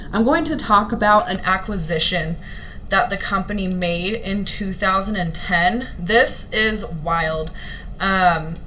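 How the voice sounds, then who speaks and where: A young woman with a slightly hoarse voice, chatting earnestly to her viewers on a vlog, with plenty of ums and restarts. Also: medium pitch, a bit bright, slight background noise, rough recording. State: a little hoarse. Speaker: vlogger. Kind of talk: casual talk to camera to live viewers.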